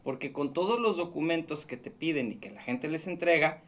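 A man's voice: on the high side, fairly quick, a bit bright. A man in his thirties with a Mexican accent, explaining a loan scam on a radio show from a small studio booth. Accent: Mexican Spanish. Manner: earnest, warning, explanatory. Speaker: radio show consumer-fraud reporter. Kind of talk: radio talk segment. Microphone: studio mic on boom arm.

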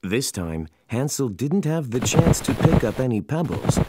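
A narrator telling a children's fairy tale in an expressive, scripted storytelling style. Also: medium pitch, a little slow, very noisy.

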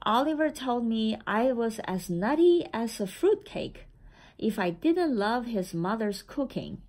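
A woman reading a children's picture book aloud in a deliberate, expressive storytelling manner. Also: a little high. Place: indoor room with framed certificates on the wall.

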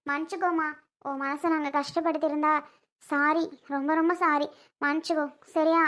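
A woman playing a young mother in a family drama, gently explaining herself, apologetic yet resolute. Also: sits high, flat delivery, moderate pace. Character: apologetic but resolute young mother keeping her child.

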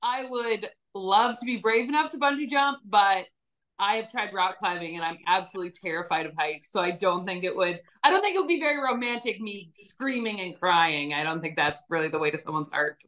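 A woman's voice: mid-range voice, a little fast, a bit bright, low-quality recording. A young woman from British Columbia, a dairy farmer guest talking easily and laughing often on a video call from home. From British Columbia, Canada. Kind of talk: casual video-call interview. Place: home room.

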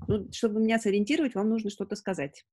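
A woman in her forties hosts an informal webinar over a video call, answering questions in a halting way as she thinks aloud. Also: mid-range voice, a little mellow. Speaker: webinar host. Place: indoors, room at home. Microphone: video call on a laptop.

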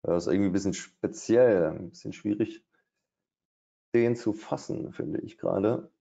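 A man's voice: lowish voice, moderate pace, vocal fry, mellow, breathy edge. A middle-aged man doing live trading commentary at his desk, thinking aloud with hesitations and fillers. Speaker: day trader. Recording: dull, lo-fi stream audio.

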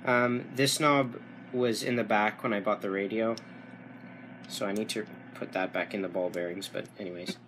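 A young man giving a casual technical demonstration, halting and correcting himself, recorded indoors on a handheld camera. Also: lowish voice, steady tone, background noise. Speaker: hobbyist radio restorer. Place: small furnished room indoors. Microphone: handheld camera, behind it.

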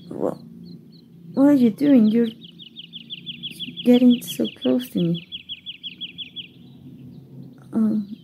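A shy, giggly young fairy who keeps stumbling over her words, her voice a little high but soft and mellow, as a woman voices her. Faint birdsong drifts behind her in this gentle ASMR roleplay. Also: gravelly, slight background noise, heavily acted. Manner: giggly, bashful, stammering. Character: playful flustered young female fairy. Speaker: voice actor.

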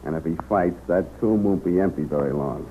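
Vintage radio crime drama: deep-pitched tough schemer, played by an actor, terse, faint hiss. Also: male voice, fairly quick, phone-quality sound, natural voice. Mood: tough, scheming. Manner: terse, slangy. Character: tough, scheming 1930s crook. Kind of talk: 1930s radio acting. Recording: thin, hissy 1930s radio recording.

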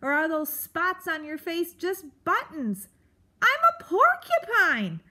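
A woman's voice: very high voice, unhurried, pushed and bright, voice pushed up, exaggerated voice. A woman voicing a grumpy old male porcupine who bellows and hurls insults in a storybook reading. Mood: cranky, bellowing. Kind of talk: storybook read-aloud.

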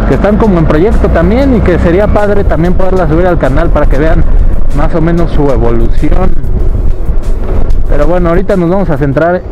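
A man's voice: a little high, poor audio. A man with a central Mexican accent chatting informally to viewers as a motovlogger while riding outdoors, often tagging his sentences with little questions. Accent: central Mexican Spanish. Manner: informal, colloquial, tag questions. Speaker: motovlogger, channel host. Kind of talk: casual vlog chat while riding. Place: outdoors on a moving motorcycle on city streets. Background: music, vehicle and street sounds.

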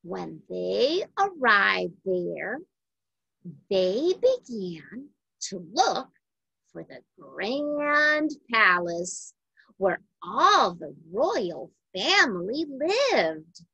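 A woman reading a story aloud to children with deliberate, dramatic phrasing. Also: mid-range voice, sing-song, creaky, pushed and bright, breathy edge, dry recording, highs cut off. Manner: deliberate, dramatic phrasing with questions to young listeners. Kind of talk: expressive scripted read-aloud for children.

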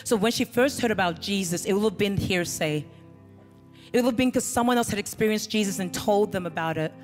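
A woman's voice: medium pitch, brisk, soft background music. Woman preaching in a reverberant church hall. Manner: earnest preaching, rising and falling with emphasis. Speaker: pastor. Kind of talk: sermon. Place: large church stage with band behind. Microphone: handheld mic.

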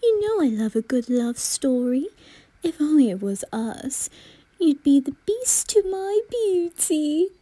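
A lovestruck, flamboyant male reaper acted by a woman flirts in a high, slow voice. He swoons theatrically and draws out his words, in an anime roleplay recorded dry and close. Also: very animated, mellow, dry recording. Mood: swooning, dramatic. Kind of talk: ASMR anime fan roleplay.

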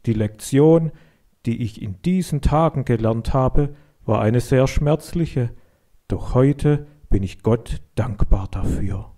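Mellow male storyteller reading for children. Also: mid-range voice, takes it slowly. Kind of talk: scripted dramatic storytelling reading.

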